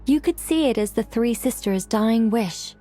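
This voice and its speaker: An actress voicing a learned, composed young woman recounting ancient lore in a video game, measured and scholarly. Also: a little high, lively intonation, mellow, faint music behind. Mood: solemn, explanatory. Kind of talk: scripted game dialogue.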